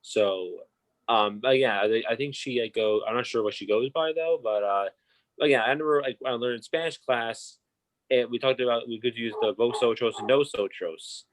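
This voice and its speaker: A man's voice: mid-range voice, moderate pace, low-quality recording. A young man co-hosting a podcast who talks casually and hesitantly, with many ums and uhs. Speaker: podcast co-host. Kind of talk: casual discussion.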